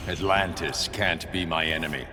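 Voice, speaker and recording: An actor playing a resolute, principled superhero in a video game, stern and controlled. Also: male voice, very deep voice, fairly even intonation, normal speed, heavy background noise. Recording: loud music behind the voice.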